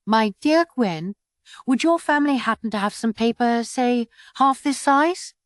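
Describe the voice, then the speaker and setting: A woman voicing a gentle, frugal, learned old male doctor in an audiobook. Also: fairly high pitch, expressive, a little slow, no room sound, clean studio sound, pitched above the performer's own voice. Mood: mild, courteous. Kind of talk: audiobook character reading.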